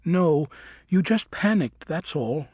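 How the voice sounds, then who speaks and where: A man's voice: a little high, lively intonation, takes it slowly, a little mellow, husky, no echo, voice pushed up, heavily acted. A man voicing a young male bat who is timid and guilty, stammering apologies. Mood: soothing.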